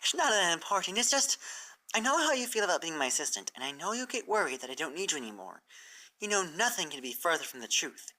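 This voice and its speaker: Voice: mid-range voice, big pitch swings, fast talker, pushed and bright, pitched above the performer's own voice, poor audio. A reader voicing an earnest, caring young adult female pony in a fan fiction story. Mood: gentle, earnest. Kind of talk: fan fiction character reading.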